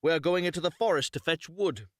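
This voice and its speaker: A man's voice: fairly high pitch, quick pace, no echo, studio quality, voice pushed up, natural voice. A man voicing a harsh, bossy mother from a fairy tale, scornful and scolding. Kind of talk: storybook character reading.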